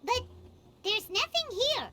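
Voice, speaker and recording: A woman voicing a tiny, excitable, childlike fairy companion with a squeaky voice in a video game. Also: takes it slowly, slight background noise, heavily acted. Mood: curious. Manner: refers to self in third person, expressive. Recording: loud music behind the voice. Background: music.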